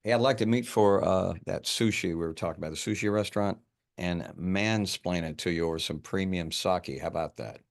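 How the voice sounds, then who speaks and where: A man playing a driven, rattled detective in a film script read-through, with halting speech and repeated words. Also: sits low, gravelly. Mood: pleased, breezy. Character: driven, rattled male detective obsessed with his case. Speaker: actor at a script table read.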